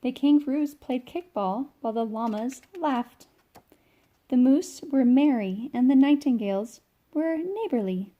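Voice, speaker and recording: Unhurried woman reading a picture book. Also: sits high, expressive, narrow, tinny audio. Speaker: read-along storyteller. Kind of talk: children's picture book read-aloud.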